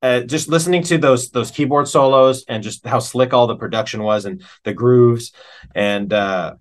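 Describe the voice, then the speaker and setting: A man's voice: medium pitch, normal speed. A man speaking as an interview guest and musician, chatting informally in a rambling, anecdotal way. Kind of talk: casual anecdotal chat.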